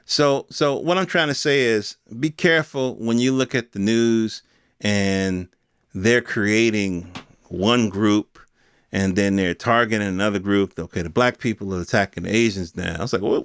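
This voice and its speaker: A man's voice: on the low side, fairly bright tone, no echo, studio quality. An American man hosting a podcast, talking off the cuff in a casual, colloquial opinion monologue full of rhetorical questions. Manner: colloquial, rhetorical questions, mimics imagined speakers. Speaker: podcast host.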